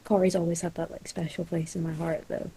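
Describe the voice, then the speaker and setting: A woman's voice: fairly low pitch, little pitch movement, fairly quick, gravelly, warm tone, slightly rough. A nineteen-year-old Scottish woman chatting casually as a podcast co-host. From Scotland. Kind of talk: casual chat.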